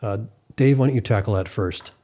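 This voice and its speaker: A man's voice: on the low side, a little fast, mellow. A man hosting an interview in a conversational style, commenting and putting questions to guests. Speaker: interview host.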